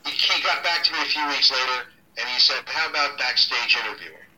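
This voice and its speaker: A middle-aged man, a ring announcer, talking casually in an interview, relaxed and halting with many ums and restarts. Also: mid-range voice, recorded from a distance, low-quality recording. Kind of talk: casual interview over a call.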